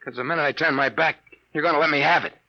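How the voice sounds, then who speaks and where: A man's voice: a little high, racing, dull audio. An actor playing a desperate, slangy American man in a 1950s radio drama, urgent in manner, on a noisy old recording. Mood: wary defiance. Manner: urgent, slangy tough talk. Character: desperate wisecracking American man, alarmed and disbelieved.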